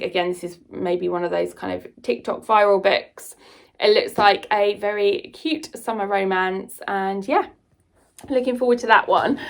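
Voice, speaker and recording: A woman with a mid-pitched voice talks in a casual vlog style. She is chatty and informal, with an enthusiastic way of sharing recommendations as an author and book vlogger. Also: gravelly. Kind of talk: casual book chat to camera.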